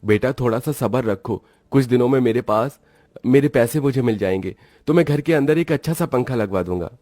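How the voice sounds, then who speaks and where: A man's voice: mid-range voice, fairly quick, dark tone, no echo, clean studio sound, close to an everyday voice. A man voicing a cautious, protective young father and husband in a moral-story cartoon. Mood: patient.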